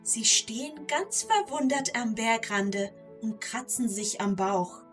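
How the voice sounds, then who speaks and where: A woman playing an excitable storyteller in a children's audio play, involved in the tale and speaking straight to listeners. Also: on the high side, slow pace, faint music behind. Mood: relieved, confiding. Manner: addresses the listeners, gets caught up in events. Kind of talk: dramatic scripted children's storytelling.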